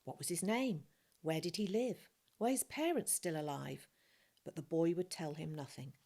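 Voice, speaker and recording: A woman's voice: sits low, moderate pace, darkish tone. A woman narrating a children's novel as a scripted reading.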